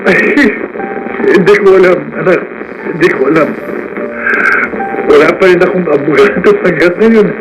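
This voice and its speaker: A man playing an anguished, loving middle-aged jailed father in a Filipino radio drama, earnest and halting. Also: high-pitched, music in the background, lightly acted. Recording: noisy radio broadcast.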